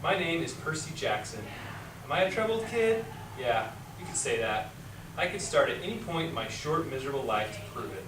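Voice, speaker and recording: A man's voice: medium pitch, very animated, a little fast, natural voice. Troubled schoolboy hero for reader's theater adult man, sincere storytelling, recorded far from the mic with noise. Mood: wry. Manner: earnest first-person storytelling. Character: troubled twelve-year-old schoolboy, put-upon, earnest narrator. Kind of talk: amateur reader's theater acting. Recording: echoey room, distant mic, clear noise.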